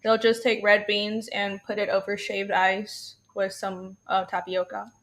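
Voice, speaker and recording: A woman's voice: medium pitch, level tone, measured pace. A young woman from Taiwan, a guest on a live stream, chatting in a bubbly way and laughing often. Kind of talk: casual live-stream chat.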